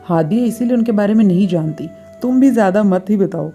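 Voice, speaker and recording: A calm elder sister-in-law in an audio family tale, acted by a woman. Her very dark, mid-pitched voice gently chides and explains over music. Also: a little fast, close to an everyday voice. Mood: gently chiding.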